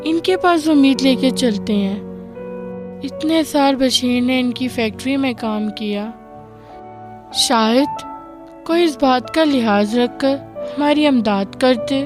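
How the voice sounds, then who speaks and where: Poor widow for radio drama, played by a woman: slow, earnest, plaintive, music underneath. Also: high voice. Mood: weary, despairing. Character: poor, weary widowed mother, pleading yet dignified. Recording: music behind the voice.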